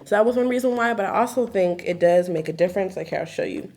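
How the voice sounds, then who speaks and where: A woman in her twenties giving a casual, chatty hair tutorial, her voice a little raspy from just waking up, with lots of filler words. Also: medium pitch, fast talker. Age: 20s. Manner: relaxed, chatty, lots of filler likes and ums. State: slightly raspy morning voice.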